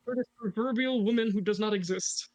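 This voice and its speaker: A man's voice: sits high, brisk, darkish tone, poor audio. A man co-hosting a reaction video, casually analysing a song and joking with his audience. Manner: chatty, jokey, points things out to the audience.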